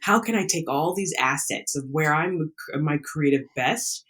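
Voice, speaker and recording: A man's voice: a little high, normal speed, sharp tone. A man speaking as an interview guest, explaining personal ideas conversationally with a mild occasional stutter, repeated words and frequent check-ins. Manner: repeats words, checks in with "right" and "you know". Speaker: interview guest, teacher and coach.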